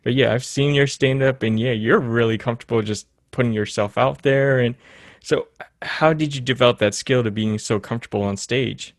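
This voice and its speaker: A man hosting a podcast interview, asking relaxed questions and chiming in with friendly affirmations. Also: mid-range voice, brisk, no room sound, clean studio sound. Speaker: podcast host. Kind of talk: casual interview chat.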